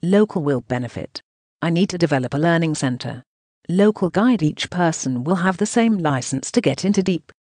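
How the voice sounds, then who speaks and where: Robotic news reader voice, choppy, says the punctuation out loud, bouncy pitch, dry sound. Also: lively intonation, moderate pace, no echo. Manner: choppy, reads punctuation marks aloud. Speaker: narrator. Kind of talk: synthetic voice reading translated news text.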